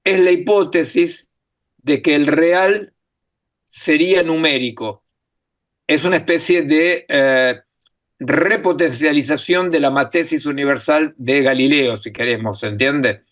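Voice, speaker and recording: A man with a fairly high voice gives an academic lecture in a language that is not his own. He thinks aloud as he goes, full of pauses, fillers and little checks on whether the audience follows. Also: fairly bright tone. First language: not Spanish. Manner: thinking aloud, many fillers and tag questions. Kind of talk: academic talk.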